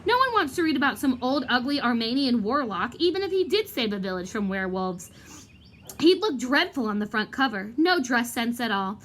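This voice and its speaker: A vain male wizard professor from a storybook, acted out by a woman, evasive and self-important. The voice sits fairly high and swoops about, pompous yet hesitant. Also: very animated, fairly quick, a bit bright, slight background noise. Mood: pompous, boastful.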